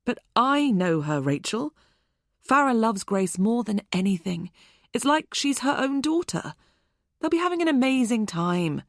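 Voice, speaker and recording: A woman reading an audiobook, voicing a calm, patronizing adult man. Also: very high voice, measured pace, dry recording, clean studio sound, voice pushed up, full character voice. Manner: patronizing, unruffled.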